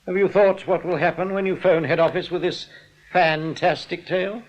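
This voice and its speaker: An English actor playing a suave, scheming bank manager in a radio drama, wordy and full of oily, theatrical politeness. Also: male voice, high voice, fairly even intonation, normal speed, lightly acted, rough recording. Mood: smugly menacing. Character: suave, smug, scheming male bank manager, mock-gracious crook. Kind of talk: British radio drama acting.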